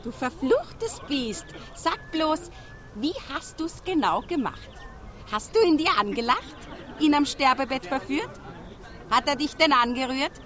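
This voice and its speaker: A spiteful, indignant woman with a very high voice. She scolds with biting irony and domineering force, speaking in rhyme as in a radio drama. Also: expressive, unhurried, fairly bright tone. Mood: furious accusing. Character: indignant, spiteful, sarcastic adult daughter of deceased man.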